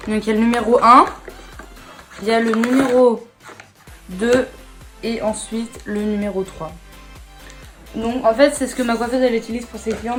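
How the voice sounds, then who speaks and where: Hoarse young woman vlogging at home, slangy chatty, camera mic, faint music under it. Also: medium pitch. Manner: chatty slangy, swears casually. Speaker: beauty vlogger. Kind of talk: casual vlog chat to camera.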